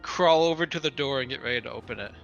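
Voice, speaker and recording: A man's voice: mid-range voice, fast, a bit bright, highs cut off. A man chatting casually as a player in a tabletop roleplaying game. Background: background music.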